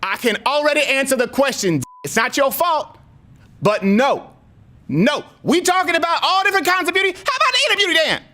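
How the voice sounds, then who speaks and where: A very high, sharp-edged voice an aggrieved gender non-conforming single mom on a prank complaint call. The speech is streetwise and emphatic, rambling along and circling back on its demands. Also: male voice, sing-song, brisk, heavily acted. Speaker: prank caller. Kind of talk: improvised prank phone call.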